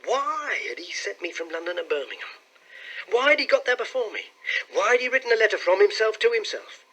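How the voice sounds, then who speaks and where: Man playing an excitable, high-pitched young London clerk. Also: brisk, exaggerated voice, poor audio. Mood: bewildered. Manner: eager, chatty storytelling. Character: young male London clerk, excitable, cheery, bewildered client.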